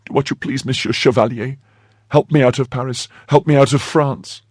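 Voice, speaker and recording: A man's voice: medium pitch, fairly even intonation, moderate pace, breathy edge, dry recording, clean studio sound, voice pushed up, lightly acted. A man voicing a frightened young French maid in a storybook, emotional and anxiously pleading. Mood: desperate, pleading.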